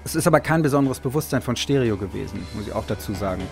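A man talking reflectively as an interviewee, halting and correcting himself as he thinks. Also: medium pitch, expressive, vocal fry, over loud music. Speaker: music producer and musician, interviewee.

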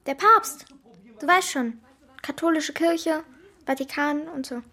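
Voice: sits high, takes it slowly, creaky, pushed and bright, full character voice. A performer playing a bold, resourceful young girl in a children's radio play, blunt and self-assured. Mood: scheming, matter-of-fact.

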